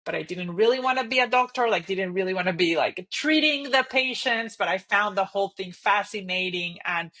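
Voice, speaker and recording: Bright-voiced woman guest from Costa Rica. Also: mid-range voice, a little fast, hardly any pauses. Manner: thoughtful, open storytelling with frequent "right?" checks. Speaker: guest, company CEO. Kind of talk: interview conversation.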